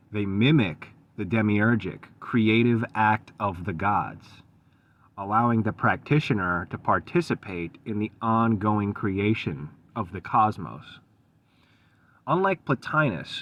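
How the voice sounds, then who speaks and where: A man giving an unscripted solo talk for his video channel, measured and thoughtful, with frequent pauses, ums and restarts. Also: fairly low pitch. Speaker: esoteric channel host.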